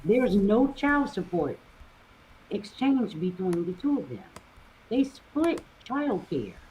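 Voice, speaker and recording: A middle-aged woman speaks emphatically on a panel, with her pitch swinging widely as she stresses her points. She repeats key lines to drive them home. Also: big pitch swings, slow pace, a little mellow, slight background noise, rough recording. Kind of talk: panel debate.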